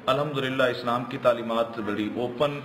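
A man, a cleric, making a measured statement in an interview. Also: medium pitch, a bit bright, slight background noise. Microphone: handheld reporter microphone. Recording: echoey indoor interview.

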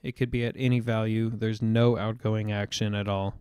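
A young man explaining software setup step by step in a screen-recorded tutorial voice-over, with the odd "um". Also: on the low side, level tone, normal speed, clean tone, no echo, clean studio sound. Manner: explanatory, step-by-step, with occasional fillers. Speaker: tutorial presenter.

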